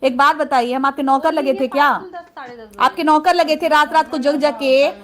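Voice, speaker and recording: A woman's voice: high voice, fairly even intonation, moderate pace. A woman doing casual vlog commentary, reading messages aloud and addressing viewers directly in an indignant, emphatic manner.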